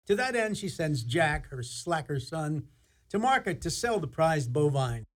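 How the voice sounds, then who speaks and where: A man's voice: on the high side, big pitch swings, a little slow. A man playing a genial, theatrical narrator, telling a fairy tale in a radio play. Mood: storytelling. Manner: theatrical, storytelling.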